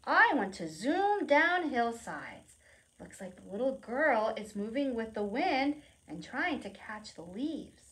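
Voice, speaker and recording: A woman presenting a preschool storytime, reading aloud and explaining in simple, expressive phrases with questions for young children. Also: on the high side, slow pace, low-quality recording.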